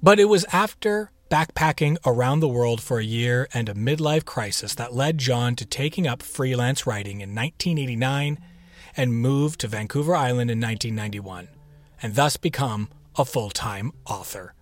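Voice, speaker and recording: A man's voice: medium pitch, moderate pace. A man hosting a podcast with a playful, theatrical manner, chatting his way through a scripted introduction. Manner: playful, theatrical, with whispered echo-like repeats and asides. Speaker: podcast host.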